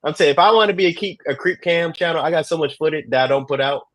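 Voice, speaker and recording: A man's voice: a little high, fast talker, no echo. A man guest giving travel safety advice in a casual chat, earnest, repeating himself and chuckling at his own stories.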